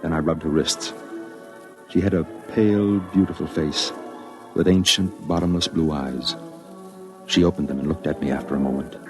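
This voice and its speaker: A deep-voiced, earnest, lonely reporter. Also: male voice, fairly even intonation, normal speed, pauses often, poor audio. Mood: somber, wistful. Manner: solemn, dramatic literary delivery. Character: earnest lonely male reporter narrating and pleading. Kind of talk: old-time radio drama acting. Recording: vintage radio drama with music behind.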